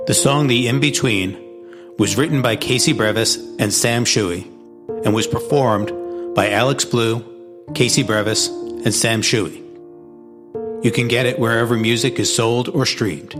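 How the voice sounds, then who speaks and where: A man reads the closing credits in a measured, even way. His voice sits a little low. Clear piano music plays behind him throughout. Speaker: credits announcer. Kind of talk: scripted credits reading.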